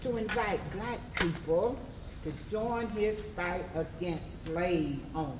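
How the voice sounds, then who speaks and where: A woman narrating scripted history in readers theatre with emphatic, measured phrasing, in an echoey and noisy hall. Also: fairly low pitch. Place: live stage performance in a hall. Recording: echoey, distant mic, noisy.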